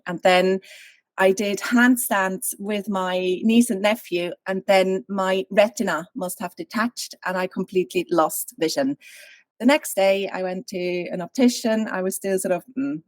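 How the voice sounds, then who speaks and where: A woman in her thirties, originally from Switzerland, speaking as an interview guest and telling a personal story in a candid, animated way over a video call. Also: medium pitch, no echo.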